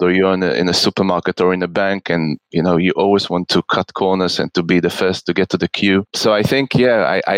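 A man, answering interview questions in a measured way, often repeating words as he searches for them. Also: sits low, dry recording, rough recording. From Israel. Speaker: podcast guest.

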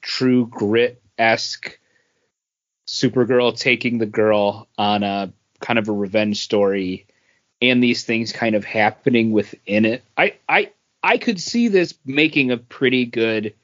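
A man co-hosting a podcast, talking casually about comics and feeling his way haltingly through his thoughts. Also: mid-range voice, highs cut off. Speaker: podcast co-host. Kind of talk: casual comic book review chat.

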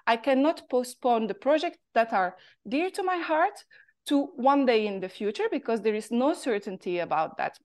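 Moroccan-accented woman, reflective guest. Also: animated. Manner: thoughtful, halting, pitch rising and falling. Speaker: guest, artist. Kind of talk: reflective interview storytelling.

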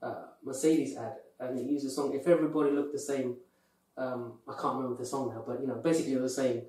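A man's voice: medium pitch, steady tone, fast talker, mellow. A man from the UK co-hosting a podcast, speaking earnestly and telling anecdotes with many fillers. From UK, of Pakistani heritage. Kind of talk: earnest conversational chat. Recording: echoey room, distant from mic.

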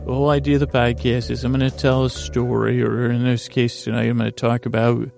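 A man hosting a bedtime podcast, meandering and halting in a creaky voice, with an easy, soothing delivery meant to lull listeners to sleep. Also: medium pitch, level tone, a little mellow, soft background music. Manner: meandering, halting, trails off and restarts. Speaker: podcast host.

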